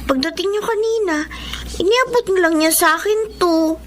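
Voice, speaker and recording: A woman's voice: very high voice, normal speed. A woman playing a grateful, polite young blind student in a Filipino radio drama. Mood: eager. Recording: faint background noise.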